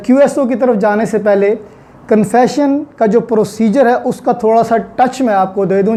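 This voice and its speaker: A man's voice: sits high, mellow. A man with a Pakistani accent giving an explanatory law lecture in a didactic way, repeating key points and mixing in English terms.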